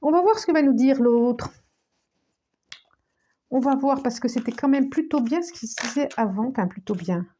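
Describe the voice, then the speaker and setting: A woman with a cold giving a tarot reading, speaking slowly with many restarts and repetitions, sometimes dropping to a near-whisper. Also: high voice, expressive, mellow. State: has a cold, coughing. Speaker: tarot card reader.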